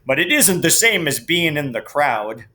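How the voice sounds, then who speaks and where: A man plays a big rustic fellow from a storybook. He is genial and folksy, with informal phrasing and a forceful tone. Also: on the high side, sing-song, moderate pace.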